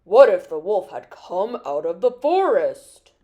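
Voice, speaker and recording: A woman voicing a stern, angry old grandfather in a children's storybook, scolding slowly. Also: medium pitch, big pitch swings, mellow, dull audio, pitched below the performer's own voice.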